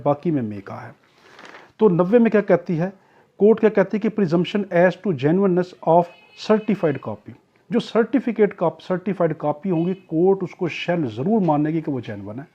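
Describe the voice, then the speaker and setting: A muffled, lo-fi recording of a Pakistani man lecturing as a tutor. His voice sits a little high for a man, with a soft, mellow tone. He explains didactically, switching into English legal terms and restating each point. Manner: didactic, repetitive, asks and answers own questions. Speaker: law tutor. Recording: dull, muffled lo-fi audio.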